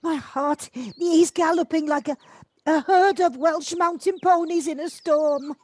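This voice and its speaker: Woman acting a very high, breathless, dramatic neighbour. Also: a little slow. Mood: shocked, breathless. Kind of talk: audio play acting.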